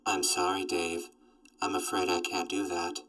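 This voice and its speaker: A man's voice: low-pitched, flat delivery, a little slow, fairly bright tone, soft background music. A man voicing an emotionless, gloomy robot assistant that refuses orders in formulaic machine phrasing, in a scripted audio comedy drama. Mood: emotionless, bleak.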